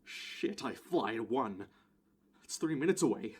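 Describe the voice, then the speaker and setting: Man acting a panicky airline passenger, pitch all over. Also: very animated, husky. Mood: panicked, pleading. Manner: flustered, halting, pleading. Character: highly anxious male airline passenger, panicking and pleading. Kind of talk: amateur audio play acting.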